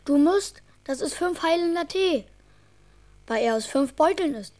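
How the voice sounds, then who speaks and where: Soft-toned, earnest little boy. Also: high-pitched, animated, measured pace. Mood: caring, coaxing. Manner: earnest, childlike, coaxing. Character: earnest, caring little boy, emotional and bossy. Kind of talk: radio drama for children.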